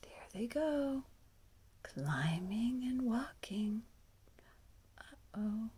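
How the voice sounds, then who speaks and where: A woman reading a picture book aloud to young children, often hushed, with exaggerated wonder. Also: on the high side, expressive, extremely slow, a little hiss or hum. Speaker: storyteller and children's music teacher.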